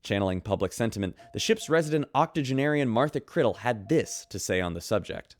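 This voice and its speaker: A man's voice: mid-range voice, lively intonation, fairly quick, no echo, clean studio sound, lightly acted. An actor playing a chatty, showman-like radio host reading the ship's news in an audio drama.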